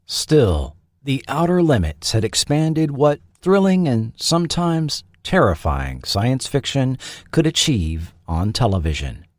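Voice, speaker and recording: A man narrating a scripted history documentary as its host, with deliberate, dramatic phrasing. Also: mid-range voice, big pitch swings, dry recording, studio quality.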